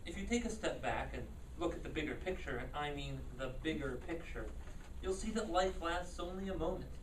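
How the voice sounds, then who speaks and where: Guy acting a wry talkative man musing out loud, conversational, echoey room far from the mic. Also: a little high, lively intonation, brisk, natural voice. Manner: reflective, conversational, addressing the audience. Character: Charlie, chatty wry man musing at a funeral. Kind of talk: staged reading of a play. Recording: roomy echo, distant mic, faint noise.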